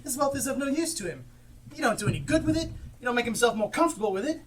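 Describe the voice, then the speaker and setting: A woman on stage playing a cheerful, forgiving young gentleman in a live amateur play, picked up by a distant mic. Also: a little high, lively intonation, very fast, slight background noise, lightly acted. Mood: amused.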